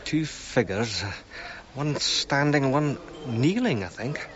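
An actor playing a friendly, easygoing companion to an archaeologist in a radio drama, slightly hesitant in manner. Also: male voice, mid-range voice, expressive, slow pace, highs cut off, a crowd in the background. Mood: curious.

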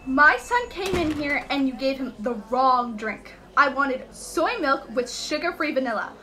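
A woman's voice: high voice, lively intonation, unhurried, bright, forceful tone, exaggerated voice. A woman playing an irate, accusing mother in an amateur radio play. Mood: indignant. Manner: accusing, indignant. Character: irate, indignant mother threatening to sue.

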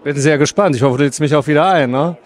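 A middle-aged man with a high voice speaks quickly as an interviewer. He is chatty and effusive, talking into a handheld mic in a cinema foyer. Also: a faint crowd. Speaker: interviewer and host. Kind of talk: red-carpet film interview. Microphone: handheld interview microphone.

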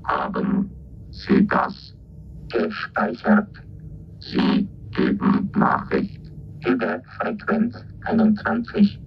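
An actor playing a formal military negotiation robot in a radio drama, with stiff, clipped, formulaic delivery. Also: male voice, extremely low pitch, wildly swooping pitch, very slow, vocal fry, long pauses, full character voice. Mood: mechanical, formal. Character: two military negotiation robots exchanging coded messages, formal, mechanical. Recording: dull, noisy recording.

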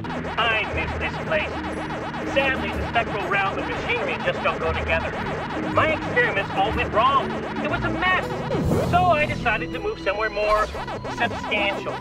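Heard from a distance under loud music, an eccentric cartoon ghost scientist. The voice is high for a male, with dry, fussy, brainy delivery. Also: big pitch swings, moderate pace. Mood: nostalgic.

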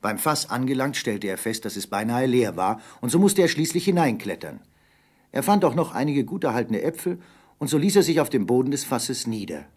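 A man's voice: medium pitch, animated, a little fast, darkish tone. A man narrating an adventure story in a dramatic, scripted style.

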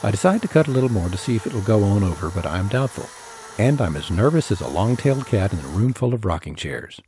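A man's voice: lowish voice, sing-song, quick pace, noisy background. A man narrating his own tree-cutting video in a scripted voice-over, with dry folksy humor.

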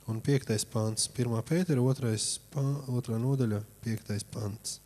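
A man in his thirties interprets a sermon live in short phrases, haltingly, with false starts and corrections. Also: medium pitch, fairly even intonation, warm tone. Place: church auditorium stage. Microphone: handheld microphone. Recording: long hall reverb from church PA.

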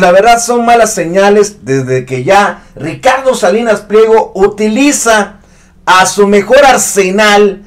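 A man in his thirties hosting an online news commentary show, speaking with emphatic indignation. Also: high-pitched. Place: studio set with a news backdrop.

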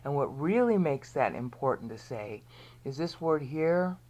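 Low-voiced American woman teaching scripture. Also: lively intonation, a little slow, warm tone. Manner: rambling, explanatory, often asks okay; drops to a near whisper at times. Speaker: Bible teacher. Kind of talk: screen-share Bible lesson.

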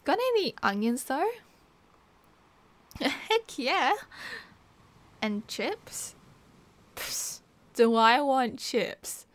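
A woman's voice: high-pitched, sing-song, very slow, pauses often, exaggerated voice. A young woman with an Australian accent playing a cheerful, teasing partygoer in a casual ASMR roleplay, chatting in playful slang. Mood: playful, upbeat. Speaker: roleplay voice actor. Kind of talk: casual ASMR roleplay, one side of a chat.

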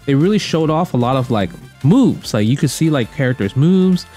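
Male commentator, casual and opinionated, slangy, repeats points for emphasis, mid-pitched, light music bed. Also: lively intonation, moderate pace, dark tone. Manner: slangy, emphatic, repetitive phrasing. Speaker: guest commentator ranking movies. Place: indoor room at home.

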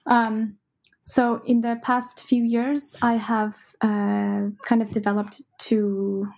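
A young woman composer answering interview questions in a thoughtful, casual way, with many pauses and fillers. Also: on the high side, level tone, gravelly, darkish tone.

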